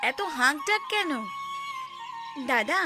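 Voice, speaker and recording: High-voiced caring village housewife played by a woman. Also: lively intonation, slow, over music. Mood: caring, brisk. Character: caring, chatty village housewife and sister-in-law. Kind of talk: Bengali cartoon dialogue.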